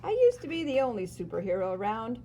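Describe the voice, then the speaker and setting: A woman plays a storybook superhero man who is stepping down. The delivery is breezy and sure of itself, with a teasing lilt and a mellow tone. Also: fairly high pitch, very animated, fast talker, a little hiss or hum. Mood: breezy, assured. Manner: breezy, self-assured, teasing. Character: confident, easygoing male superhero retiring from the job.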